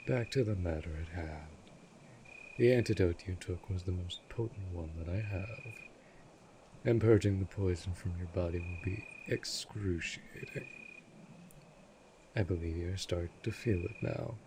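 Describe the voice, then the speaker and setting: A man playing a cold, possessive vampire master in an audio drama, speaking in a formal, commanding, measured way. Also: low-pitched, dark tone, slight background noise. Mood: curt, authoritative. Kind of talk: scripted roleplay audio drama.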